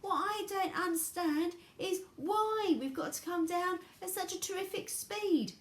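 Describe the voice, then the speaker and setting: A woman's voice: high-pitched, sing-song, a little fast, roomy sound, pitched above the performer's own voice. A woman voicing an earnest, loyal and polite young boy from a storybook, with a pleading manner. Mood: puzzled, urgent.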